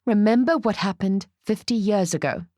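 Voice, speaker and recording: A woman voicing a weary, exasperated old woman with a gruff edge, in a dramatic story reading. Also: medium pitch, normal speed, dark tone, no echo, studio quality, close to an everyday voice. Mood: pleading, insistent.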